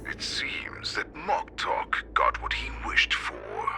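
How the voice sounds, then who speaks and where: An actor voicing a menacing, mocking wolfish beast-man warlord with a deep gravelly voice, slow and taunting. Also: huge pitch swings, in a whisper, exaggerated voice. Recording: music behind.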